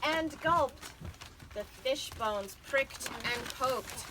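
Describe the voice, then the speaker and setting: A woman's voice: sits high, extremely slow. A woman reading a picture book aloud to children at a live event, dramatically stretching out key words. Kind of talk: animated picture-book storytelling to an audience. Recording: noisy live reading with audience sounds.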